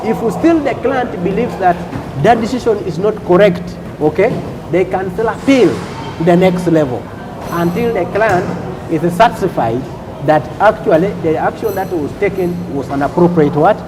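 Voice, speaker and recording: A man from Uganda, a customs official on a talk show, explaining rules deliberately and repeating points with "okay?" checks. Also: sits high, expressive, noisy background.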